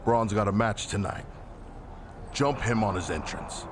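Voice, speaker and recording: A deep-voiced, scheming wrestler played by a male actor in a video game cutscene. He is blunt and terse, with cool self-assurance, over clearly noisy ambience. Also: unhurried, close to an everyday voice.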